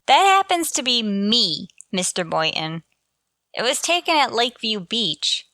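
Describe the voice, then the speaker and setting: Lady doing sarcastic teacher in old radio comedy, lively highish voice, dry sound. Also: unhurried, no room sound, heavily acted. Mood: wry. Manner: wry, deferential sarcasm. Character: wry, quick-witted female high school English teacher. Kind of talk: old-time radio sitcom acting.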